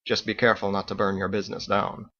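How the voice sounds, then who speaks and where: Man as a gravelly, courtly old sailor captain. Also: fairly low pitch, flat delivery, fast talker, bright, forceful tone, muffled recording. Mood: musing.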